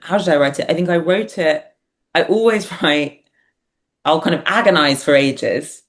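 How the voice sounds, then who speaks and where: A woman's voice: low voice, moderate pace. A woman talking casually and reflectively as an interview guest, hesitant and self-deprecating as she thinks aloud. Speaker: podcast guest, writer and performer.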